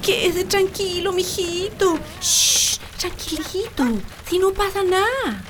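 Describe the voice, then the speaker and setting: A woman's voice: very high-pitched, slow pace, background noise. An actress playing a bossy, nagging rural wife and doting mother in a comic radio drama, with a Chilean accent. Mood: soothing, motherly. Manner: scolding, exaggerated, colloquial.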